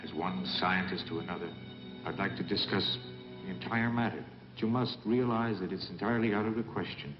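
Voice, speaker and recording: An actor playing a courteous but guarded senior scientist in a dubbed old sci-fi film, formal and reserved. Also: male voice, deep voice, a little fast, natural voice. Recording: echoey, dull film soundtrack, loud music.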